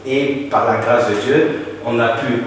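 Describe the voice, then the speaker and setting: A man's voice: mid-range voice, fairly even intonation, measured pace. A man who works as an entrepreneur, speaking in an earnest, assured way as an interview guest. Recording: echoey, distant, noisy.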